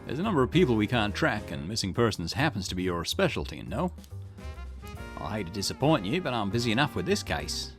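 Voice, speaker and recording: Man reading game lines over music. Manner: switches between character lines with lively pitch swings. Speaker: gaming channel host. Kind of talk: reading game dialogue aloud in a let's play. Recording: game music playing underneath.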